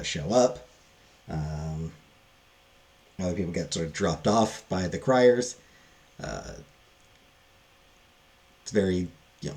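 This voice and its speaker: A man giving a casual, unscripted book review, halting and thinking aloud with frequent pauses. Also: low voice, darkish tone.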